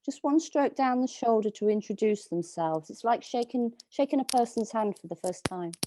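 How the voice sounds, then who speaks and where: A woman dog trainer giving slow, soothing instructions over a video call, with a calming voice. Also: a little high, no echo. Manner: soft, slow, soothing coaching. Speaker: dog trainer leading a workshop.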